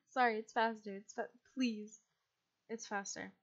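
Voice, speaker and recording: A young woman from the United States, chatting casually and rambling as she streams a game, with a husky, quiet voice and frequent laughs. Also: on the high side, animated, slow, dull audio.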